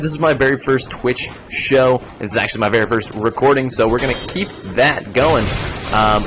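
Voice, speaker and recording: A man's voice: medium pitch, a bit bright, slight background noise. A young man streaming a video game, chatting casually, rambling and joking as he plays. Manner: rambling, joking, breaks into goofy voices. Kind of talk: casual gaming commentary.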